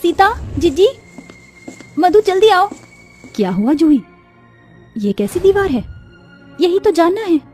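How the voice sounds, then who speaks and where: A woman's voice: extremely high pitch, sing-song, slow, pauses often, darkish tone, soft background music, pitched above the performer's own voice, full character voice. A woman voicing a poor young village daughter-in-law in a cartoon story, earnest and pleading in a dramatic, plaintive way. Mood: anxious. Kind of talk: Hindi moral cartoon story acting.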